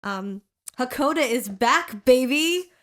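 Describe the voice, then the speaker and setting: A woman's voice: high-pitched, expressive, slow. A woman co-hosting a podcast in casual chat, sounding congested and rambling with lots of fillers. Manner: chatty, rambling with many fillers and restarts. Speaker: podcast co-host.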